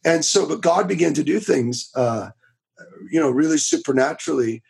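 A man in his thirties from Florida, a preacher telling his story in a casual interview, with a raspy voice, talking loud and fast with many restarts. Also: on the high side, expressive. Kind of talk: casual testimony in a podcast interview.

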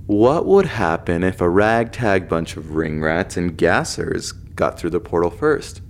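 Very low-voiced, questioning man. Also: big pitch swings, measured pace, a little hiss or hum. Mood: hopeful. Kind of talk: audio drama acting.

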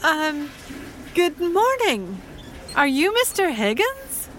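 A proper, courteous mother of middle age in a radio play. Her high voice rises and falls freely, staying crisply formal and quietly determined. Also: huge pitch swings, takes it slowly, slight background noise, full character voice. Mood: courteous, prim. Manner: polite, prim, crisply formal. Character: polite, proper, determined conservative middle-class English mother. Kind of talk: audio drama acting.

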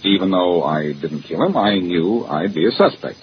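An actor playing a cocky, wisecracking amateur detective in 1940s radio style, glib, sarcastic and teasing. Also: male voice, low-pitched, lively intonation, moderate pace. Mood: teasing, smug. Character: cocky, wisecracking amateur detective man, needling a police inspector. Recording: muffled, hissy old-time radio recording.

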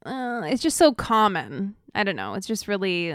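A woman's voice: mid-range voice, big pitch swings, moderate pace, no echo, clean studio sound. A woman co-hosting a podcast in casual political chat, laid-back and a little hesitant, easily amused. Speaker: podcast co-host.

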